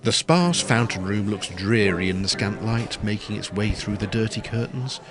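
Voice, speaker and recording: A man's voice: lowish voice, moderate pace, lightly acted. A man narrating a period farce as a wry, descriptive storyteller with a scripted delivery. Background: prominent music.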